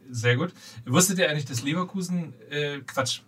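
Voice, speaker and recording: A man co-hosting a casual football podcast, excitable and stumbling into his points, recorded a little away from the mic. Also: on the high side, normal speed, husky. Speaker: podcast co-host. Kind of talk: casual football talk, bantering. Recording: distant mic with faint background noise.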